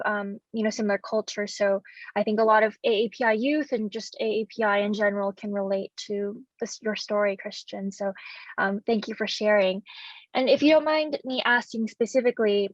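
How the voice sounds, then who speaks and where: A woman's voice: mid-range voice, no echo. A young woman born in the United States, interviewing a guest over a video call, polite and hesitant with frequent ums. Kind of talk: interview questions. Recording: dull, lo-fi video-call audio.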